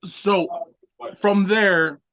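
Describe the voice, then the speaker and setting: A man's voice: sits high, steady tone, very slow, fairly bright tone. A man hosting a podcast, asking brief, probing interview questions. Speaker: podcast host.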